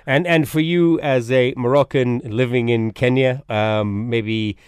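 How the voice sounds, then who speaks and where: A man's voice: mid-range voice, fairly even intonation, a little slow, darkish tone, smooth, dry recording. Man, radio host, morning show, hesitant, many restarts, dry sound.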